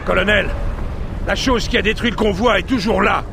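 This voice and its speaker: A man's voice: high voice, moderate pace, gravelly, noisy background. A man playing a brash lieutenant leading his squad in a video game, urgent and sardonic. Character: brash young squad-leader lieutenant in combat. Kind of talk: video game combat acting in French dub.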